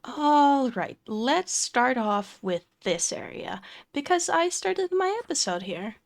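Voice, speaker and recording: A young woman from Finland, chatting casually with fillers and soft laughs while touring her game build. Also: high voice, big pitch swings, slow pace, gravelly. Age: young adult. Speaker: Minecraft let's play creator. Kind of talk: casual gameplay commentary.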